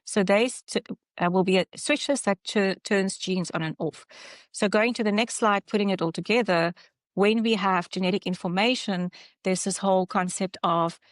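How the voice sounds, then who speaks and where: A woman with a South African accent, a clinician explaining slides in an online webinar, halting, with fillers and self-corrections. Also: medium pitch, no echo, clean studio sound.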